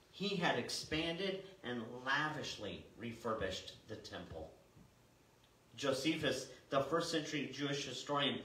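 An older man delivers a church sermon in a bright, projected voice with measured emphasis. He is recorded from across a roomy sanctuary, with the microphone at a distance and faint noise behind him. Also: medium pitch. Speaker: pastor. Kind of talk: sermon with scripture reading. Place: small church sanctuary, indoors. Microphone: far camera.

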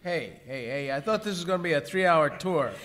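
Man playing fussy tourist, higher voice. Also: animated, fairly quick, a bit bright. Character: fussy tourist doting on a pet donkey. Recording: echoey hall.

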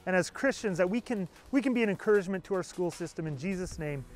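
A man's voice: high voice, lively intonation, quick pace. A man in his forties, a pastor, speaking earnestly and energetically outdoors on a lapel mic. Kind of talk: enthusiastic church video address. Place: outdoors beside a building.

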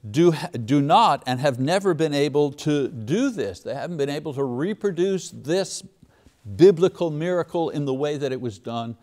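Man teaching a Bible lesson. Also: fairly high pitch. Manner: unscripted teaching, frequent fillers and restarts, asks rhetorical questions; reads scripture aloud.

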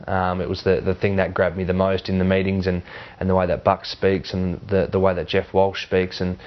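A man in his twenties with an Australian accent, chatting casually in an interview with halting restarts. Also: low voice, completely flat delivery. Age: 20s. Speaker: Australian rules footballer. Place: warm indoor lounge with a fireplace. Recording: slightly dull sound.

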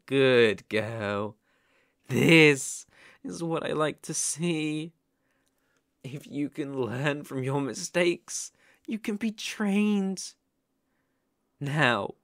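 A man's voice: on the high side, creaky, a bit bright, no echo, studio quality, heavily acted. A man voicing a sadistic, possessive kidnapper in an ASMR roleplay, taunting and menacing with a mock-sweet manner. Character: sadistic, possessive male psycho yandere kidnapper.

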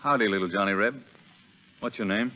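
A man's voice: fairly low pitch, brisk, background noise, natural voice. A man playing a folksy, shrewd army sergeant in 1930s radio drama, with colloquial phrasing. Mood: friendly, sly. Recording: narrow 1930s radio recording, long reverb.

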